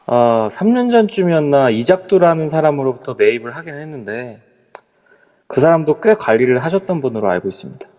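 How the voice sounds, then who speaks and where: A man's voice: medium pitch, sing-song, brisk, a little mellow, big-room sound, lightly acted. A man voicing a nervous, polite middle-aged hotel executive who hesitates and trails off as he explains. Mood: uneasy. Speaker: voice actor in a live amateur audio drama.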